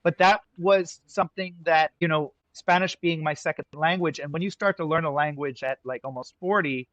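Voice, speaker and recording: An American man around fifty, a restaurant owner talking about his life in a relaxed, rambling way with lots of "like" and restarts. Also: fairly high pitch, moderate pace, dry recording, dull audio. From United States. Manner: easygoing, lots of likes and restarts. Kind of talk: casual interview conversation.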